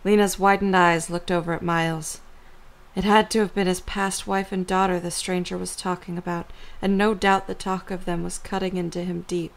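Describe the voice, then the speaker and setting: A woman's voice: fairly low pitch, level tone, moderate pace, creaky. A woman reading scripted story narration for an audio drama as the narrator.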